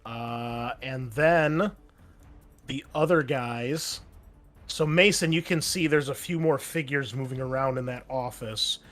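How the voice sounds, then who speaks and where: A man's voice: medium pitch, takes it slowly. Male game master, easygoing delivery, faint music bed. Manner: casual, relaxed, frequent fillers. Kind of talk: running a tabletop game.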